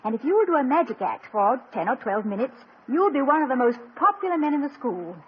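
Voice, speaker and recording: An actress playing a gracious, witty college president's wife in poised, teasing 1950s radio comedy style. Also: female voice, high voice, sing-song, a little fast, a little hiss or hum. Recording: dull lo-fi 1950 radio broadcast.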